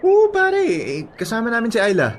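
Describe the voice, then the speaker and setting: An actor playing a chummy young male drinking buddy in a Filipino radio drama, talking in a coaxing, animated way. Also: extremely high pitch, normal speed, a little hiss or hum, heavily acted.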